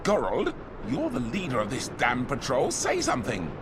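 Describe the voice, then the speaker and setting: An actor playing a sly, argumentative, whining soldier in a dramatic fantasy video game. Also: male voice, mid-range voice, wildly swooping pitch, moderate pace, noisy background. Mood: exasperated. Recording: music behind the voice.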